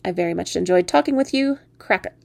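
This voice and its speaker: A cheeky storybook frog prince, acted out by a woman in a creaky, close, dry voice. He woos with theatrical, courtly pomp and teases as he flirts. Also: fairly low pitch, lively intonation, fast talker, a little mellow, no room sound. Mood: polite farewell. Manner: theatrical, mock-courtly wooing.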